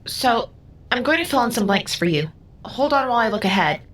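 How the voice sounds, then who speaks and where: An actress playing an otherworldly, protective female guide through time in an audio drama, speaking in a solemn, measured way. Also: on the high side, lively intonation, a bit bright. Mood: concerned, firm. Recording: music under the voice. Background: music.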